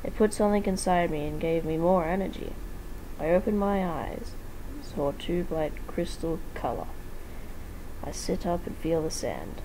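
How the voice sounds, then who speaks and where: A woman's voice: fairly low pitch, animated, moderate pace, a little hiss or hum, rough recording. A woman giving an amateur dramatic reading of a fan fiction story, sometimes stumbling over words and rereading them.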